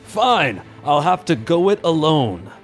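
A man's voice: on the high side, very animated, takes it slowly, faint music behind, heavily acted. A man voicing a brawny, boastful half-elf hero, declaiming in mock-heroic radio drama style. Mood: bold, bombastic. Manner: declamatory, bombastic.

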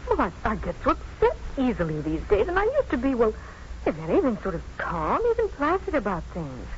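An actress playing a devoted, emotional but resolute doctor's wife in a 1950s radio drama, earnest and theatrical. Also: female voice, a little high, huge pitch swings, fairly quick. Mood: fragile, emotional. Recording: muffled, noisy 1950s radio recording.

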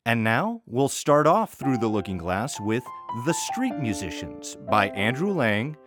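A man's voice: medium pitch, sing-song, measured pace, over music. An American man introducing an audio story series as host, in a wry, conversational scripted style.

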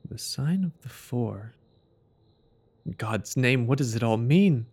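A man acting a bewildered, horrified gentleman companion to a detective in an audio drama, earnest and baffled. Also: medium pitch, expressive, slow pace, slightly rough, full character voice.

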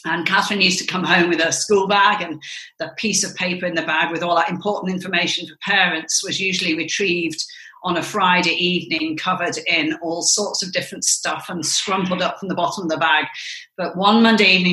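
A middle-aged woman from England, a guest on an interview podcast, telling stories in a measured way with a few fillers. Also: on the low side, steady tone, pushed and bright. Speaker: interview guest, mother of cricketers. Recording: roomy echoey sound.

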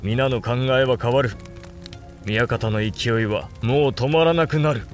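A man's voice: mid-range voice, soft background music, close to an everyday voice. Man acting a grave, resolute samurai lord. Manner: grave, deliberate, commanding. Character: brooding, resolute samurai lord and elder brother. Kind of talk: Japanese period audio drama acting.

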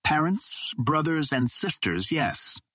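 A man voicing a proud, tender young Martian princess in an audiobook, speaking formally and gently. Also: fairly high pitch, big pitch swings, slow pace, slightly rough, dry recording, pitched above the performer's own voice, exaggerated voice. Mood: tender, thoughtful. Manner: formal, gentle, thoughtful phrasing.

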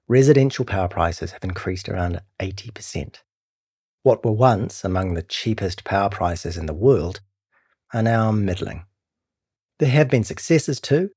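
A man with a New Zealand accent reading a scripted long-form news feature as narrator, in a measured, serious way. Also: low-pitched, darkish tone, slightly rough, dry recording, clean studio sound. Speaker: narrator of a long-read journalism podcast.

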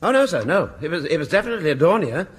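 An actor playing a mild, vague middle-aged chief clerk and sergeant in a radio sitcom, with an upper-class English accent and a polite, hesitant manner. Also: male voice, on the high side, big pitch swings, rapid-fire. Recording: very noisy old radio recording.

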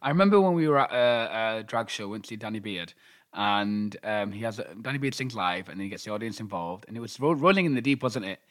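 Man, podcast co-host, giggly, jokey bragging, lively swooping pitch. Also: fast. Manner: animated, giggly, boastful in jest. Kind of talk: casual banter.

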